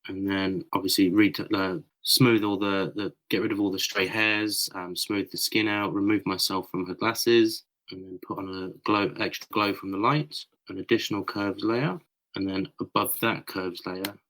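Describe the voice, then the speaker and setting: A British man with a very deep voice talks through his photo editing steps in a casual podcast chat. He is a little croaky and fills pauses with "um" and "uh". He comes through over a voice call. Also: poor audio. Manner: explains edits step by step, relaxed with fillers. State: a bit croaky.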